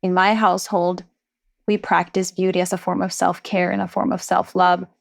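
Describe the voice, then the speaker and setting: Guest, woman, thirties, from Sweden; low-ish voice, thoughtful storyteller. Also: level tone, normal speed, vocal fry. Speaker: entrepreneur guest. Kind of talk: podcast interview.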